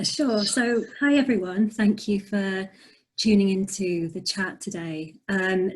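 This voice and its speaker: A young woman with a slight Yorkshire accent, speaking softly and reflectively with frequent ums, answering questions over a video call. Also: fairly low pitch. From north of England. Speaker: poet and author. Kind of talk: conversational interview answers.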